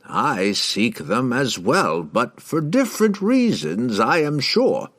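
Fantasy storybook wizard: oily, amused schemer, raspy and grandiose, lifted pitch with wide swings. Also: male voice, very animated, fairly bright tone, no echo, exaggerated voice. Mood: smooth probing. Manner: grandiose, drawn-out, courtly delivery.

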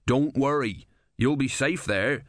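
A man's voice: medium pitch, slow, no room sound, clean studio sound, pitched above the performer's own voice, natural voice. A man voicing an eager, insistent soldier who muses and calculates, in an audiobook. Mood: eager, reassuring. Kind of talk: audiobook character voice.

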